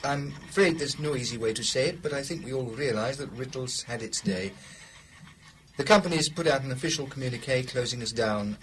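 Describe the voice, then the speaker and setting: An earnest 1920s chief engineer, played by a man, with a medium-pitched voice. He is proud and measured, addressing his team in a radio play. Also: slight background noise, natural voice, low-quality recording. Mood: resigned.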